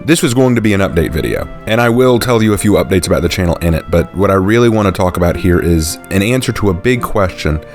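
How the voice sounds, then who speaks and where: A young man, talking casually and unscripted to his channel's viewers, relaxed and thinking aloud with fillers. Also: low voice, fast talker, few pauses, soft background music. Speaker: YouTube channel host.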